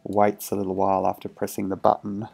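A man's voice: sits low, monotone, fairly quick, vocal fry, mellow, slightly rough, soft background music. A man casually narrating a hands-on electronics demo in a hesitant, understated way, thinking aloud. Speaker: electronics hobbyist presenter.

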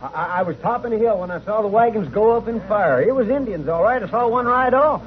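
An American actor playing an earnest, excitable marshal's helper with rustic frontier talk in a radio western. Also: male voice, sits high, fast talker. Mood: urgent. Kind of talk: 1950s radio western acting. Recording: tinny, noisy vintage radio recording.

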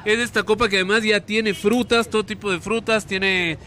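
A man's voice: high-pitched, fairly even intonation, fairly quick, bright, forceful tone. A man in his thirties hosting on location with an Ecuadorian Spanish accent, playful and coaxing, often repeating words, holding a handheld mic. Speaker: TV host. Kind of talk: lively on-location presenting. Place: hotel kitchen and street outdoors. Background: street ambience, traffic.

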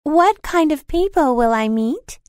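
A man voicing Alice, a curious, polite young girl, in a high falsetto for a storybook reading. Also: expressive, unhurried, no echo, clean studio sound. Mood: earnest. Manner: falsetto, earnest and insistent.

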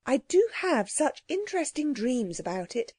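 A woman's voice: sits high, very animated, moderate pace, a little mellow, husky, no echo, studio quality, voice pushed up, full character voice. A woman voicing an earnest, polite young girl in a children's storybook. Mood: eager, reassuring.

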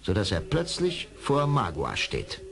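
Low-voiced male narrator with lively intonation. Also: creaky, crowd noise. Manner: measured storyteller phrasing. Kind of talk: scripted radio play narration.

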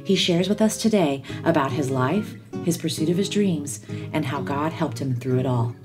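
A woman hosting a podcast, reading a scripted guest introduction in a smooth, measured way. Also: sits low, expressive. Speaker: podcast host. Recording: guitar music underneath.

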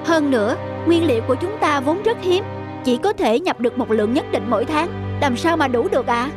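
A woman voicing a principled, worried bakery employee who protests deferentially in an animated story. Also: high-pitched, a little fast, over music. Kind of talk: animated moral-story acting.

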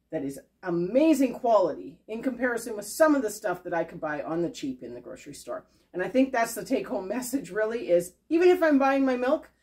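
A woman's voice: fairly high pitch, darkish tone, husky. A woman hosting a homesteading cooking video, chatting casually and upbeat as she works in her home kitchen. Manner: chatty, upbeat, thinks aloud while working. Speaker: homesteading channel host.

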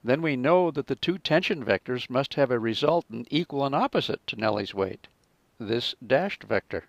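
A man narrating a scripted physics lesson as a teacher, in a measured, explanatory manner. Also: medium pitch, lively intonation, no echo.

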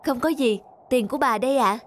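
Woman acting a gentle, patient mother, fairly high-pitched. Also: fairly quick, a little hiss or hum, close to an everyday voice. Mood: caring. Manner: gentle, instructive, polite. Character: kind, patient young mother teaching her daughter compassion. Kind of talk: cartoon moral tale dubbing.

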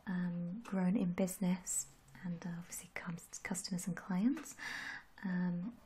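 A young woman in a soft-spoken ASMR roleplay, gentle and reassuring, with a breathy, often whispery voice. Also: fairly low pitch, fairly even intonation. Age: young adult. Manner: gentle, unhurried, polite reassurance. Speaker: ASMR roleplayer as a tattoo artist. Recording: audible background noise and hiss.

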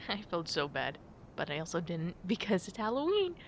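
A young woman playing a playful, affectionate girlfriend in a chatty ASMR roleplay, with gentle hesitant pauses. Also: very animated. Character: playful, affectionate girlfriend on a pumpkin-picking outing. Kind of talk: ASMR girlfriend roleplay, casual one-sided chat. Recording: rumbly background noise.

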